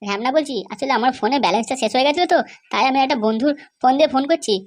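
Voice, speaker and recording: A woman's voice: high-pitched, racing, lightly acted. A woman voicing a chatty young son-in-law in a cartoon, deferential and eager to explain. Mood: eager, polite. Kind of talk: cartoon dialogue.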